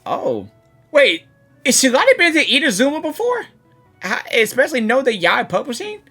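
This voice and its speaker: Female game streamer, slightly raspy, very animated pitch, thinking out loud in a casual way. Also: moderate pace, faint music behind. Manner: musing, wondering aloud. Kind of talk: casual reaction commentary.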